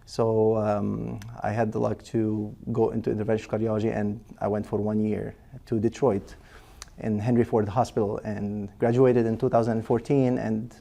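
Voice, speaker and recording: A man's voice: fairly low pitch, level tone, normal speed, vocal fry, mellow. A man, a cardiologist patiently explaining in an interview, with frequent fillers and restarts. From Lebanon. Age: 40s. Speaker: cardiologist guest.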